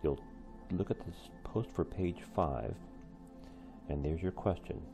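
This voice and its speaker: A man's voice: low voice, slow pace, creaky, music in the background. A man reading a storybook aloud expressively, like a teacher with a class.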